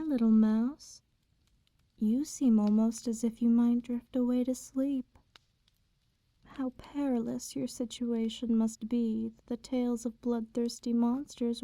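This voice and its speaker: A woman playing an immortal vampire in an ASMR roleplay, speaking softly and formally, with condescending tenderness and quiet menace. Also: fairly high pitch, dry recording. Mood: teasing, indulgent. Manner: formal archaic diction, unhurried, teasing. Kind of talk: slow ASMR roleplay, intimate bedtime storytelling.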